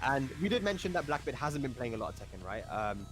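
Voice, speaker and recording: A man's voice: medium pitch, very animated, fast talker, over music, rough recording. A man giving chatty, enthusiastic live commentary on a fighting-game tournament, often laughing along. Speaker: esports commentator.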